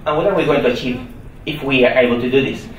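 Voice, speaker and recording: A man's voice: mid-range voice, fast, muffled recording, a little hiss or hum. A man giving a technical conference talk, explaining step by step and posing rhetorical questions with fillers. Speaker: conference presenter. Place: conference hall. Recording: echoey hall recording from a distance.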